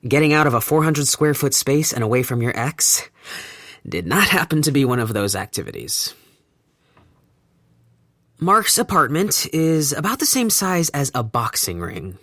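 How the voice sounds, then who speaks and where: A wry, reflective man talks through the end of a relationship in a hesitant, thoughtful way. His voice sits at an ordinary middle pitch. The sound is dry and close, with no room around it. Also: sing-song, normal speed, fairly bright tone, no echo. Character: wry man newly split from his partner. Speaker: narrator of an audio drama. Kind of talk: first-person audio drama monologue.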